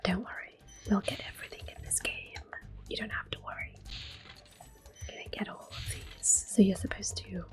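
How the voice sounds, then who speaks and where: A woman whispering close to the mic as she calmly plays through an old game and recalls it fondly. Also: mid-range voice, normal speed. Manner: soft whispering, gently nostalgic. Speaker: ASMR gameplay host. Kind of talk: whispered ASMR game commentary. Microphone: close sensitive mic. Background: faint game music.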